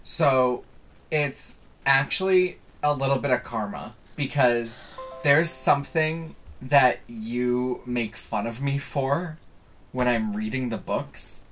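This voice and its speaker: A man's voice: mid-range voice, fairly bright tone, slight background noise. A man co-hosting a podcast, teasingly explaining a game penalty in a casual tone. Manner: teasing, deliberate rule-setting. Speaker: podcast co-host.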